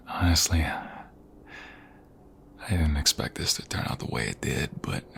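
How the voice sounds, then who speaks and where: Man playing a devoted mobster fiancé, low gravelly murmur, very breathy, protective, teasing, intimate ASMR roleplay. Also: a bit bright, slight background noise, exaggerated voice. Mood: intimate, affectionate. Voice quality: husky, breathy, often dropping to whisper. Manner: affectionate, teasing, slow and murmuring. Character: protective, tender mobster fiancé soothing his beloved.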